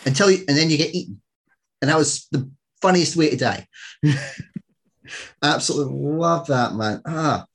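A man's voice: a little high, moderate pace, pauses often. A man hosting a podcast in casual, enthusiastic chat, joking and swearing freely. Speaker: podcast host.

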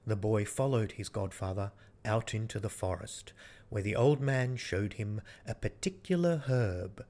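A man's voice: fairly low pitch, unhurried, mellow. A man reading a fairy tale as a storyteller, in scripted narration.

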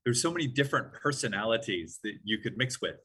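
A man from Hawaii, a guest in an interview, answering in a calm, measured, reflective way. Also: medium pitch, slightly rough.

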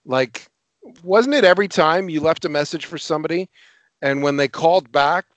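A chatty middle-aged man co-hosts a relaxed podcast and pokes fun at himself. His voice sits a touch high and sounds dry and close. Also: normal speed, no echo. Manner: chatty, self-mocking, laughs easily. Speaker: podcast co-host. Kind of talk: casual podcast chat. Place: home, two floors from family.

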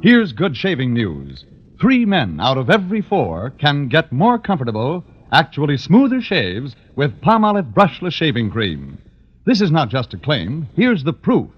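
A man reading a 1940s radio commercial in a rough, husky voice. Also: a little high. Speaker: commercial announcer. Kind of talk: 1940s radio advertising pitch. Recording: dull, lo-fi old radio recording.

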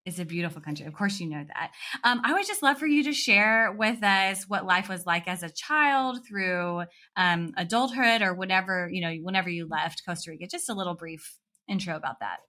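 A woman podcast host chatting and interviewing in a casual, animated storytelling manner. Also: lowish voice, brisk.

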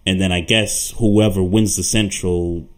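A man's voice: deep voice, measured pace. A man co-hosting a casual sports podcast, talking loosely and often repeating his words as he thinks. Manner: relaxed, stammers and repeats words. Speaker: podcast co-host.

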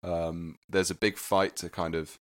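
A man's voice: low-pitched, little pitch movement, measured pace, dry recording, studio quality. A young British man from Wales, a native Welsh speaker with a mixed British accent, chatting casually and self-deprecatingly as a podcast guest. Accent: mixed British, slightly London. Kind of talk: casual chat.

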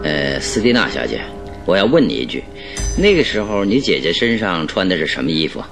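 Methodical detective, lowish voice, animated theatrical questioning, radio drama, music. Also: male voice. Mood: probing, thoughtful. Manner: deliberate, inquisitive, theatrical. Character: probing, methodical male detective questioning a client. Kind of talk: old Chinese radio drama acting.

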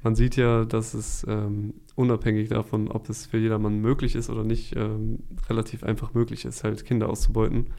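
Young man, gravelly low voice, slangy chat. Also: completely flat delivery, brisk, hardly any pauses, warm tone. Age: young adult. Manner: laid-back, slangy, joking. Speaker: podcast host. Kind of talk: casual chat, youth slang.

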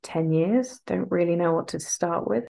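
A woman's voice: on the low side, moderate pace, creaky, dark tone. A woman in her thirties from England, a podcast guest telling rambling, self-deprecating stories. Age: 30s. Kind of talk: casual interview chat.

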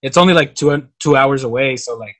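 A man's voice: mid-range voice, fairly quick. A man in his twenties, a native Spanish speaker from Mexicali and San Diego, chatting casually in slangy English as an interview guest. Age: 20s. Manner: slangy, says "bro" often. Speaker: guest, music producer. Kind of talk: casual chat.

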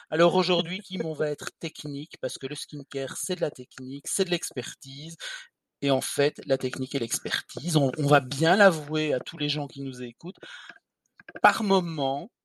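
Chatty man with a slightly high voice. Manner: chatty, explanatory, a little irreverent. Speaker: podcast co-host. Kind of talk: casual podcast chat.

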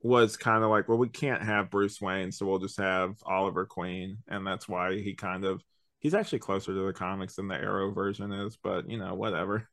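A man's voice: low voice, fairly even intonation, normal speed, a bit bright, no echo, studio quality. A young man co-hosting a podcast, giving a casual, rambling conversational review. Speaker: podcast co-host.

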